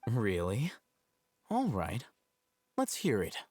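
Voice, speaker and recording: A man voicing a cool, composed young scholar and strategist in a video game, measured and matter-of-fact. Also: on the low side, huge pitch swings, heavy background noise, exaggerated voice.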